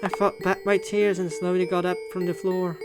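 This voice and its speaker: A woman's voice: lowish voice, flat delivery, moderate pace. Woman reading a visual novel over music. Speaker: gaming YouTuber. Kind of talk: playthrough commentary and reading a visual novel aloud. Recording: music behind the voice. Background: game music.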